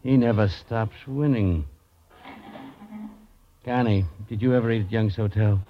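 An actor playing a genial, well-to-do gentleman in an old radio drama, with a mild, chatty delivery. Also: fairly low pitch, measured pace, lightly acted. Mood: genial, wistful. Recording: muffled, noisy old radio recording.

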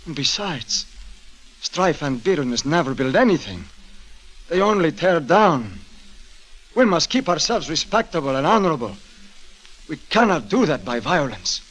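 An actor playing a humble, honorable Californio rancher with a gentle, formal, apologetic manner in a 1940s radio drama. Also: male voice, fairly high pitch, big pitch swings, normal speed, long pauses, low-quality recording. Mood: earnest, conciliatory.

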